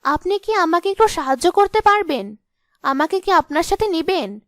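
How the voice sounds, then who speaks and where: Woman playing a high-voiced pleading young maiden. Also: very animated, normal speed, a bit bright, dry recording, studio quality, exaggerated voice. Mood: meek, pleading. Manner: pleading, polite. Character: young woman pleading sweetly, a witch in disguise.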